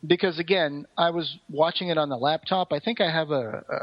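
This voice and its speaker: A man's voice: on the high side, a little fast, dry recording, muffled recording. A man co-hosting a podcast, talking through a review in a casual, rambling, explanatory way. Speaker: podcast co-host.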